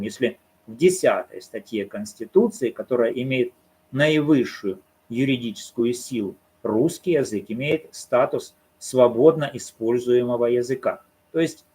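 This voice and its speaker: Husky man giving argumentative commentary. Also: mid-range voice, a little mellow. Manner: argumentative, poses rhetorical questions and answers them. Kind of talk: solo commentary on a court case.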